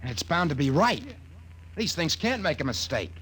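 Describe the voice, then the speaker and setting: A man's voice: on the high side, very animated, normal speed. An actor playing a brash, exasperated working-class salesman in an old radio drama, slangy and emphatic. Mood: agitated. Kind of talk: 1950s radio drama acting. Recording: old radio recording, faint noise.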